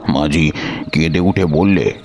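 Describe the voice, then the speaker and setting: A man narrating a suspense story in a measured, literary and dramatic manner. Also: very deep voice, a little mellow. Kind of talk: dramatic scripted first-person story narration. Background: background music.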